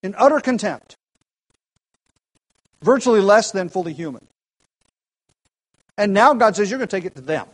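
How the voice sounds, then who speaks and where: Male preacher, somewhat high-pitched, earnest teaching tone with emphatic rises. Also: animated, normal speed, pauses often, rough recording. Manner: earnest, with frequent restarts and rising emphasis. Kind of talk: expository sermon.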